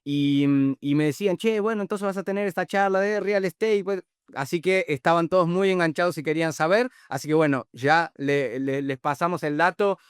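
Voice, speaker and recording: Guy hosting a chat, high smooth voice, rambly, bits of portuguese creep in, dry sound. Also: brisk, no echo. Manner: rambling, mixes in Portuguese words. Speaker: podcast host. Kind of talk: casual interview chat.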